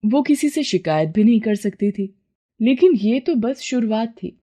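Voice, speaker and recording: A woman narrating a scripted cartoon moral story in a steady, measured way. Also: on the high side.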